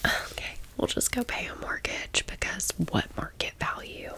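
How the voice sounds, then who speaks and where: A woman's voice: moderate pace, sharp tone. A woman in her thirties whispering a casual ASMR storytime in chatty, slangy millennial phrasing. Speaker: ASMR creator.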